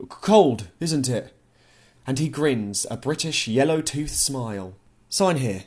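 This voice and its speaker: A man's voice: mid-range voice, expressive, slow pace, a little mellow, breathy edge. A man reading an audiobook, voicing an officious male counter clerk with a procedural, slightly awkward manner. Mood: casual. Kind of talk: audiobook character dialogue.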